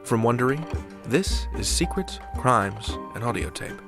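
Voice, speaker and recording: A man's voice: medium pitch, animated, a little slow, vocal fry. A man hosting a podcast, giving a dramatic scripted introduction to the episode. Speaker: podcast host. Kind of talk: scripted narration. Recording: music underneath.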